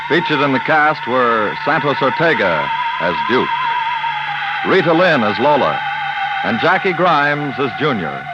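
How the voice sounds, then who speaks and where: A man's voice: medium pitch, big pitch swings, a little slow, loud music behind the voice. A man announcing credits and promotions on a 1950s radio show, in a polished, promotional delivery. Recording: dull, noisy old radio broadcast.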